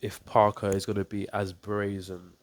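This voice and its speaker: A man's voice: fairly low pitch, flat delivery, moderate pace. A man with a blocked-up nose from a cold, giving a casual boxing breakdown to camera as a coach and YouTuber, thinking aloud with ums and restarts. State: congested with a cold.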